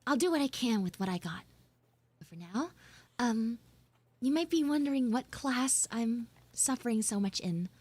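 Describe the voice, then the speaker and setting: Girl streamer acting a high voiced tsundere student, grumbly n flustered, stammers a lot, dry close sound. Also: expressive, darkish tone, no echo. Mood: embarrassed. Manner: halting, stammering, dramatic, grumbling. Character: prickly tsundere schoolgirl, flustered, pleading, defensive. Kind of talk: improvised live audio roleplay.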